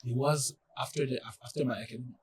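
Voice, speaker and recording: A young man from France with a French accent, speaking English as a second language in a casual interview, halting and full of fillers. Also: mid-range voice, fairly even intonation, recorded from a distance. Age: young adult. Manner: halting, many fillers and restarts. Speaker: college soccer player, guest.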